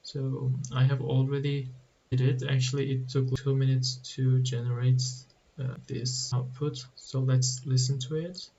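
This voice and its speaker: A man talking through a coding tutorial at a slow, hesitant pace, his voice flat and mellow. The recording is dull and a little muffled with faint background hiss. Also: mid-range voice. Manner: slow, halting explanation with restarts. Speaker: programming tutorial host. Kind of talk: screen-recorded coding walkthrough.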